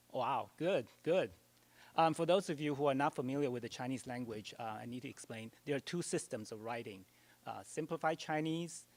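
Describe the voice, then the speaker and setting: Middle-aged taiwanese guy giving a library talk, lots of ums, a bit hesitant, self-deprecating. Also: mid-range voice. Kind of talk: public talk. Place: public library event.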